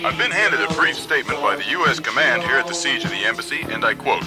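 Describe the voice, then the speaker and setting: A man's voice: mid-range voice, animated, fast talker, over music, close to an everyday voice. A man playing an earnest live news correspondent reporting from a siege in a satirical radio play. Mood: urgent, reporting. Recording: loud helicopter-like noise behind.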